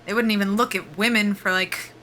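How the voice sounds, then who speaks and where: A woman's voice: medium pitch, steady tone, fairly quick, pushed and bright, slight background noise, natural voice. A woman playing a guarded, desperate soldier at a job interview in an audio drama, speaking with dry, understated skepticism. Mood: wry, skeptical. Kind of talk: naturalistic audio drama dialogue.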